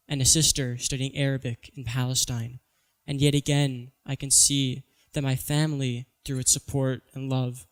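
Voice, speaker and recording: Teenage male student speaker, earnest and measured, flat delivery. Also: mid-range voice. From Singapore. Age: teens. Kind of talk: prepared public talk.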